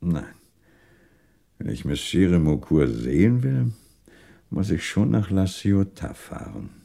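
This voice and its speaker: A man's voice: low-pitched, very animated, slow, vocal fry, warm tone, exaggerated voice. A male actor playing a sarcastic, relentless French police commissioner who probes pointedly in a 1960s German radio crime drama. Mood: calm, dry. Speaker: police commissioner interrogating suspects.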